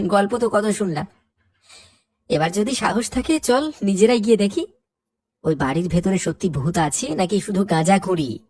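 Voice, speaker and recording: A woman's voice: mid-range voice, moderate pace, darkish tone, breathy edge, lightly acted. A woman voicing a bold, reckless village teenager in a cartoon, with a cocky, daring manner. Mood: mocking, daring.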